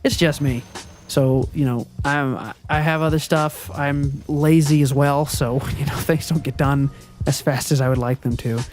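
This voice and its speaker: A man with a high, youthful-sounding voice talks through channel updates in a rambling, hesitant way, full of ums and self-corrections. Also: steady tone, measured pace, darkish tone, faint music behind. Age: adult. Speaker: channel owner and video editor. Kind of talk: casual channel update monologue. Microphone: voiceover recorded before the gameplay footage.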